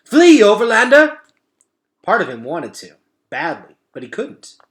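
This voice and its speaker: Animated man reading an adventure story aloud. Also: mid-range voice, normal speed. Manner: animated, suspenseful delivery. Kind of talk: dramatic children's novel read-aloud.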